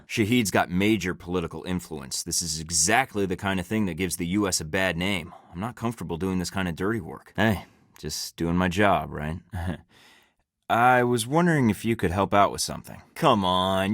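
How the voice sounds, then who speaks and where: A man's voice: low voice, moderate pace, fairly bright tone, no echo. An actor with an American accent playing a sarcastic young male spy agent in a video game, trading dry, wisecracking quips. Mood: wry.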